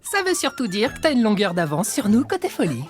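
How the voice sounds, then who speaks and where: A man's voice: high voice, wildly swooping pitch, a little fast, music in the background. A man voicing a mischievous, cynical cartoon prankster in French dubbing, sarcastic and teasing. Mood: smug. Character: mischievous, cynical male cartoon prankster who enjoys others' misfortune. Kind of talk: French-dubbed animated series acting.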